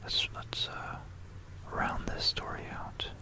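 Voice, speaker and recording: A breathy whisper from an ASMR host, very slow and deliberate. The storyteller pauses often and softly repeats phrases while walking through history, close on a binaural mic. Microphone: close stereo binaural microphone.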